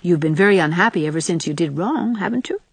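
A patient young woman in a storybook, as a female narrator plays her, soft and tender with a gently admonishing manner. Her intonation moves freely, and the sound is dry. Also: fairly low pitch, lively intonation, fast, breathy edge, no echo. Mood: gentle, serious. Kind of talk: audiobook character voice.

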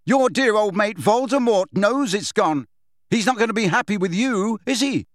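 Teenage boy wizard voiced by a man: raised pitch, animated, defiant shouts, angry mockery, storybook hero, close dry sound. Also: a little fast, no room sound. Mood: mocking, angry. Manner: shouting, taunting.

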